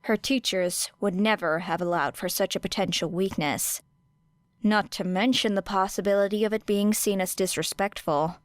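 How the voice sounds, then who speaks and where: A woman's voice: medium pitch, no room sound. Woman reading a fan-fiction audiobook. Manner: measured, expressive storytelling. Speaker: podfic reader.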